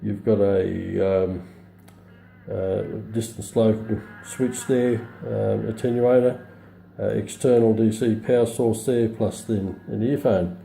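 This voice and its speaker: A middle-aged man giving an unscripted product demonstration in a halting, matter-of-fact way. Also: fairly low pitch, fairly even intonation, gravelly, warm tone, reverberant, faint music behind. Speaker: presenter of a radio review. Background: shortwave radio broadcast playing.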